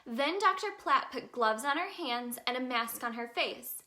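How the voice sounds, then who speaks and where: A woman reading a children's picture book aloud as a storyteller, in an expressive, sing-song manner aimed at young children. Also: high voice, a little slow. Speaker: storyteller in character as the Tooth Fairy.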